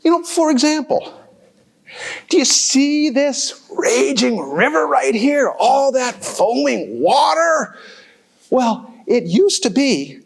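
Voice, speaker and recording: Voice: sits high, slow, breathy edge, pitched above the performer's own voice, heavily acted. A storyteller playing a big, misunderstood troll who introduces himself in a chatty, touchy way. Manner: chatty, touchy, swinging from calm to outburst. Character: big sensitive troll telling his side.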